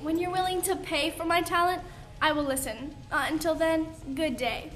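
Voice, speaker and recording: Girl playing a very high-voiced, haughty woman surgeon. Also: steady tone, normal speed, fairly bright tone. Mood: haughty, cold. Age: teenager. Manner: declamatory, dramatic delivery. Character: proud, haughty, desperate woman surgeon seeking healing. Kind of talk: school radio play acting.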